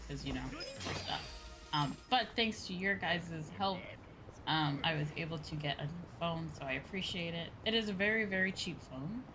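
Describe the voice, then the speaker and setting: A woman's voice: sits low, low-quality recording. A woman livestreamer chatting casually to her viewers in a rambling, repetitive way. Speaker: VTuber streamer. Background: background music.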